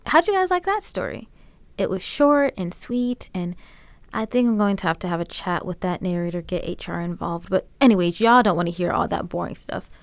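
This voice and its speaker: A woman's voice: fairly low pitch, expressive, fairly quick, vocal fry, no echo. A young adult woman hosting a radio show in a casual, scripted style. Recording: faint music bed under the voice.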